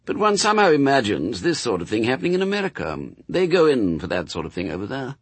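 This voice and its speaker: Casting: male reader as prim, courteous angel for a storybook, highly expressive intonation, dry close sound. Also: fast, husky, no echo. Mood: prim disapproval. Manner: polite, old-fashioned, mannered phrasing.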